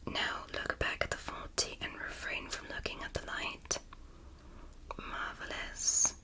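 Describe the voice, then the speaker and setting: Casting: woman as kindly, curious alien medic for ASMR roleplay, breathy whisper, very slow and deliberate, reassuring. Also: lightly acted, low-quality recording. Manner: soothing, deliberate, encouraging. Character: gentle, fascinated alien doctor examining a human. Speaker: ASMR performer.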